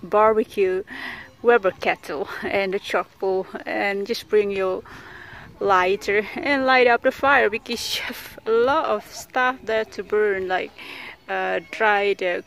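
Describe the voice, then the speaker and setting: A woman's voice: mid-range voice, fairly even intonation, takes it slowly, gravelly, a bit bright. A woman narrating a casual walking tour outdoors, chatty, with many fillers and frequent laughs, tired from the heat. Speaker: vlogger. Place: outdoors at a beach park with trees.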